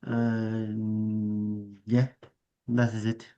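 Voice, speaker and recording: Non-native man with a strong accent on a dull lo-fi call line, speaking slowly, in a dark low voice, hesitant, restarting often. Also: monotone. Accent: non-native English. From outside Canada, non-native English speaker. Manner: hesitant, searching for words, many restarts. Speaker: meeting participant, English learner. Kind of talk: casual online meeting talk.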